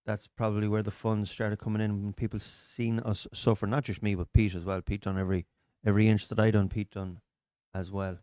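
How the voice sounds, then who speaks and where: A man's voice: on the low side, little pitch movement, a little fast, few pauses, warm tone, clean tone, no echo. An Irish man hosting a live stream from a small studio, chatting and telling stories with local slang. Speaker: comedian and podcast host. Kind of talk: casual chat on a live stream.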